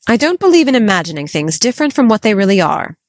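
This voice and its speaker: A woman's voice: medium pitch, lively intonation, brisk, no echo, studio quality, natural voice. A woman voicing a strict, no-nonsense middle-aged spinster, clipped and disapproving. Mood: stern. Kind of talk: audiobook character voice.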